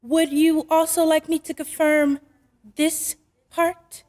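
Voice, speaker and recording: Actress as high-voiced, no-nonsense working mother. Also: flat delivery, darkish tone, long reverb tail. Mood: skeptical. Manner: firm, deliberate, proper. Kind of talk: old-time radio drama acting.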